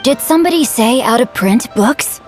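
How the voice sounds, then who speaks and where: A woman's voice: a little high, expressive, moderate pace, soft background music, natural voice. A woman voicing a courteous, bookish teenage boy with refined, flowery manners in a video game. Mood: courtly, eager. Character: polite, bookish, well-bred teenage boy, courtly and eager.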